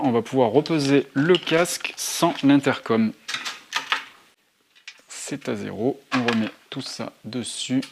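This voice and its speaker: A man narrating a casual hands-on tutorial to his viewers, thinking aloud as he handles things. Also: medium pitch. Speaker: motorcycle vlogger presenting gear. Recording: clear background noise, handling clicks.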